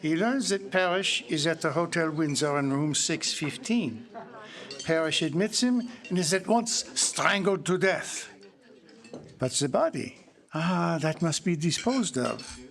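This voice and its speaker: An actor playing a courtly, self-satisfied Belgian detective with a French-speaking accent, explaining precisely in a theatrical live mystery drama. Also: male voice, on the high side, lively intonation, unhurried, gravelly, fairly bright tone, full character voice. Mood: smug explaining. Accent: French-speaking Belgian, put on. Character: Belgian detective, courtly, pompous, confident man. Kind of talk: live radio-style mystery drama performance. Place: public library live stage before an audience.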